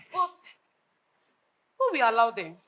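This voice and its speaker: High, bright-voiced indignant Nigerian woman. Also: wildly swooping pitch, very slow, heavily acted. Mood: resigned. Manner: emphatic, declamatory. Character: indignant, earnest Nigerian woman lamenting her country's ills. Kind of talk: projected stage play acting.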